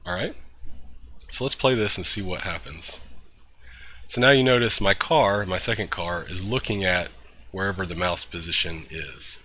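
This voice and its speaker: A man hosting a programming tutorial, a little congested from a cold, talking through code steps in a relaxed way with fillers and throat-clearing. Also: deep voice, moderate pace, slight background noise. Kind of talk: screen-recorded coding tutorial.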